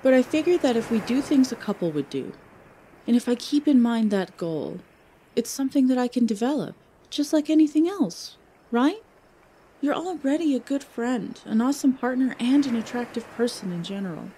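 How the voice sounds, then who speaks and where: A woman acts out an outgoing young huntress for an audiobook story. Her voice is on the high side and swings freely in pitch. She talks informally, with earnest affection and a playful, teasing streak. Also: animated, normal speed, mellow, husky. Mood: earnest, tentative. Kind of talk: audiobook fan fiction character dialogue.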